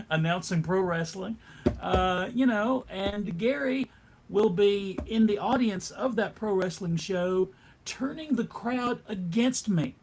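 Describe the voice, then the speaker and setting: A man co-hosts a panel in a casual, conversational style. He takes his time, speaking at a slow pace. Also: high voice, a little hiss or hum. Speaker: panel co-host, wrestling announcer.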